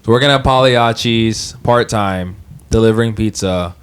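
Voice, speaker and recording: Young guy from hawaii, lowish voice, jokey and swears a lot but gets quiet and thoughtful. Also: moderate pace, smooth. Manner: joking, profane, then reflective and soft-spoken. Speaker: podcast co-host. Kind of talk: casual group chat, storytelling.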